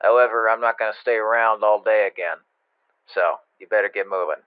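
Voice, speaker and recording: A man's voice: fairly low pitch, a little fast, clean tone, no room sound, narrow, tinny audio. A man playing an impatient, sardonic military instructor who gives casual game tutorial directions over a radio. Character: impatient, wry male military training instructor. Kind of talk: video game tutorial narration. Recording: thin, dry radio-like band-limited sound.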